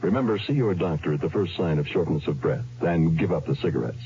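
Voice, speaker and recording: A man's voice: very deep voice, lively intonation, fast, dark tone. A man voicing an earnest public-service radio announcement. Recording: dull radio with faint noise.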